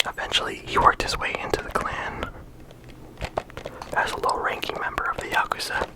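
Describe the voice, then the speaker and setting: Soft unvoiced ASMR whisper. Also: poor audio. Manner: soft, unhurried, stops and restarts often. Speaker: ASMR video creator. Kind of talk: ASMR whispered character discussion.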